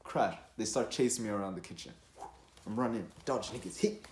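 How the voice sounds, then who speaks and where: A young man from Rwanda telling a personal story casually, in an animated, slangy and profane way. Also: fairly low pitch, fairly quick, a little hiss or hum. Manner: animated, slangy, profane, with acted-out quotes and sound effects. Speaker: storytelling vlogger. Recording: echoey, roomy sound.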